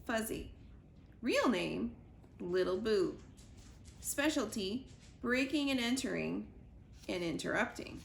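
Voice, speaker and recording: Woman reading as a dry, tired old rescue dog; slow, laid-back narration. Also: a little high, vocal fry, fairly bright tone, reverberant, slight background noise, exaggerated voice. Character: retired male search-and-rescue dog, weary, dry.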